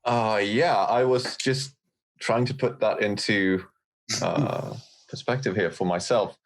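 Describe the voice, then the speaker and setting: A man with a non-American accent speaks as a podcast guest in a low voice. He takes his time, recounting things in a halting, thoughtful way. The tone is that of a casual chat. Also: steady tone.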